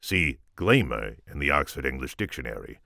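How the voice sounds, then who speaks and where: This is a man acting out a flustered woman copy editor in an audiobook. The delivery is exasperated and emphatic, pleading in confusion, and swings upward from a low, gravelly base. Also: very animated, moderate pace, sharp tone, dry recording, studio quality, heavily acted. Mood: baffled. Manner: emphatic, rising into frantic pleading.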